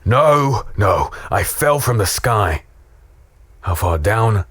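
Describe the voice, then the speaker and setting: A man playing a battered, weary human in a dark fantasy audio drama, wry and fatalistic as he tells his story. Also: fairly low pitch, expressive, takes it slowly, slightly rough, no room sound, studio quality, exaggerated voice. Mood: dazed.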